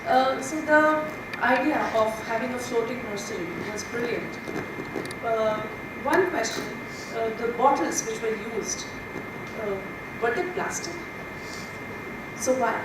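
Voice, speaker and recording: High-voiced woman in a very echoey hall. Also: measured pace. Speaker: audience questioner. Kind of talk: spontaneous question at a conference. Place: large indoor conference hall. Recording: very echoey, distant hall mic, noisy.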